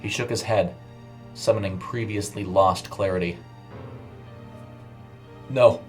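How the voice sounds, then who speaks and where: A man's voice: low-pitched, steady tone, normal speed. A man narrating a scripted horror short story in a dramatic storytelling style. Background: music under the voice.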